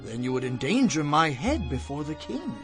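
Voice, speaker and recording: An actor playing an anxious, deferential servant of a royal court in a dramatized audio Bible, husky and theatrically pleading. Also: male voice, fairly high pitch, very animated, normal speed, music in the background. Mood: fearful.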